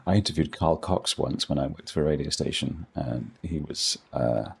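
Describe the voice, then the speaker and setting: A man's voice: a bass voice, unhurried, gravelly, breathy edge. A middle-aged man with a posh English accent, chatting casually with viewers while playing a game, rambling with dry self-deprecating humour. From England. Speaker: gaming streamer.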